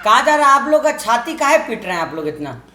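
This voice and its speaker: A woman anchors a news debate in a high voice and presses the panelist with questions. The sound rings with room echo. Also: very animated, fast, pushed and bright.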